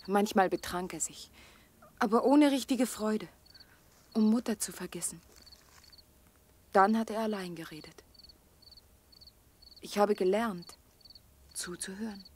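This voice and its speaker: An actress playing a lonely, vulnerable young woman in a radio drama, speaking softly and breathily in a confiding way. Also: medium pitch, unhurried, pauses often, natural voice. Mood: wistful, confiding. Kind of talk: German radio drama acting. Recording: faint night insect noise behind. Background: crickets.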